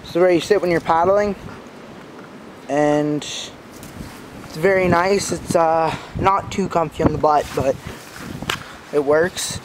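Higher-voiced man, noisy outdoor phone recording. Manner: halting, informal. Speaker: amateur video reviewer. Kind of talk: casual unscripted product walkthrough. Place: outdoors in a backyard. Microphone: handheld phone camera. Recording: outdoor phone recording with clear background noise. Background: passing cars.

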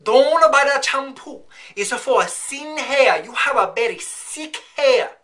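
A young man voicing a fussy Vietnamese salon worker on a phone call, speaking in clipped, slow broken phrases. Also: very high voice, animated, bright, forceful tone, full character voice. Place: small decorated room at home.

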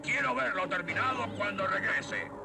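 A man's voice: high voice, big pitch swings, normal speed, gravelly, heavily acted. A man voicing a gloating, imperious skull-faced warlord sorcerer in a Latin American Spanish cartoon dub. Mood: gloating, commanding. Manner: mocking, imperious, theatrical.

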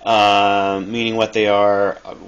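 Low-voiced man, halting casual talk. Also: level tone, smooth, muffled recording. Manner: halting, loosely improvised. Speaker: video maker, thrift reseller. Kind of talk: casual rambling how-to talk. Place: cluttered dim room indoors. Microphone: handheld phone. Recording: noisy amateur phone recording.

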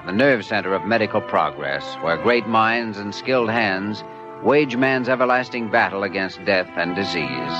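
Guy playing a measured witty surgeon on old 1950 radio, lively intonation, dull lo-fi sound. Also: on the low side, lightly acted. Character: earnest, drily witty male hospital surgeon. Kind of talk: 1950 radio drama acting.

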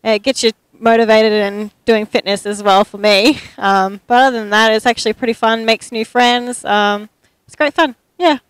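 A woman's voice: on the high side, steady tone, normal speed, clear, resonant tone, no echo, poor audio. A young woman answering interview questions outdoors into a handheld mic, a little shy with fillers. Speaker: interviewee, new larp player. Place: outdoors at a convention.

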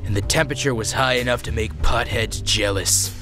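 A man playing a sardonic, world-weary private detective in a deadpan comic noir monologue. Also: medium pitch, fairly quick, music in the background, lightly acted. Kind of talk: scripted noir parody monologue.

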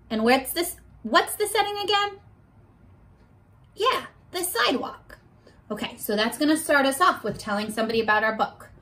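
A young woman teaching a read-aloud lesson to small children, asking questions in an animated way and pausing for answers. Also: sits high. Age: young adult. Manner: animated, exaggerated, asks questions and pauses for answers. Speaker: elementary school teacher. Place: bedroom, indoors. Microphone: camera a short distance in front.

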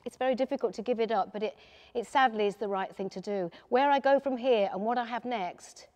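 A woman in her seventies, chatting warmly in an interview inside a car showroom and laughing often. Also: a little high, a little fast. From England. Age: 70s. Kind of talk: casual interview. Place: large car showroom, indoors. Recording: echoey showroom hall.

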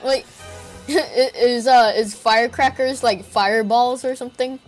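A woman's voice: on the high side, steady tone, unhurried. A woman chatting casually and teasing friends during online play, with music behind. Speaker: gamer in online group play. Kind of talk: casual teasing gameplay chat.

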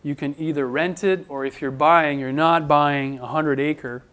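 A man's voice: a little high, a little slow. Man presenting to a crowd. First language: French. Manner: relaxed, conversational, with fillers and restarts. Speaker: farmer giving a talk. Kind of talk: conference presentation. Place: large indoor hall with audience. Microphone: handheld mic, far camera.

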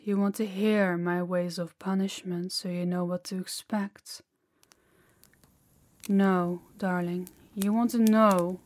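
A woman's voice: medium pitch, no echo, lightly acted. A woman playing a harsh, dominant demon in an intimate audio roleplay, commanding and menacing, often dropping toward a whisper. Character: harsh, dominant female demon training a human captive.

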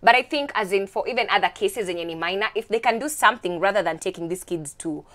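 A woman's voice: mid-range voice, a bit bright. A Kenyan woman presenting on a TV chat show, bubbly and full of slang. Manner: bubbly, mixes slang into rapid talk. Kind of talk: casual studio chat. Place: bright TV studio set.

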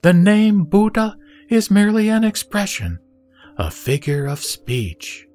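A man playing the Buddha as a serene teacher, reciting scripture in a measured, solemn audio drama style. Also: high-pitched, wildly swooping pitch, a little mellow, heavily acted. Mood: serene, instructive. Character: the Buddha, serene male teacher addressing his disciple. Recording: music behind the voice.

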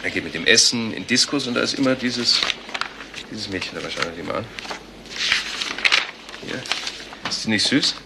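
A man's voice: lowish voice, expressive, moderate pace, long pauses. An actor playing an informal, chatty police assistant reporting to his boss in a German crime drama. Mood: briskly informal. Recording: clearly noisy TV soundtrack.

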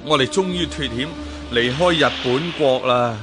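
A man's voice: mid-range voice, normal speed, loud music behind the voice, natural voice. A man acting an earnest fugitive sea merchant in a radio drama, with a Hong Kong Cantonese accent. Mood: weary, earnest.

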